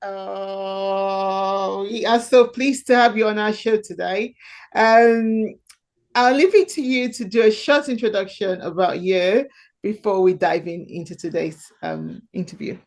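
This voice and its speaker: A woman's voice: mid-range voice, unhurried, a little mellow. Woman podcast host, mid-range voice, effusive and enthusiastic interviewer. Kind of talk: interview hosting.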